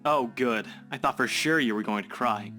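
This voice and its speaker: Cool skeptical young man, played by a woman, extremely low pitch, needling, visual novel read over faint music. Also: normal speed, pushed and bright. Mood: teasing. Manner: teasing, dismissive.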